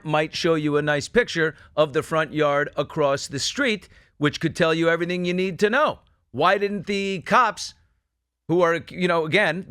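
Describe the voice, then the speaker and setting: A man's voice: a little high, fairly bright tone, dry recording, clean studio sound. A man hosting a true-crime news discussion, speaking in an emphatic, opinionated way and posing rhetorical questions. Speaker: talk show host.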